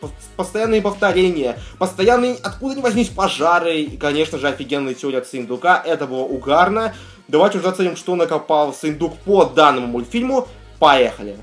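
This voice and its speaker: Animated young man hosting reaction videos. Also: on the high side, brisk, soft background music. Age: young adult. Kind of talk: casual reaction commentary. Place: small furnished room at home, indoors.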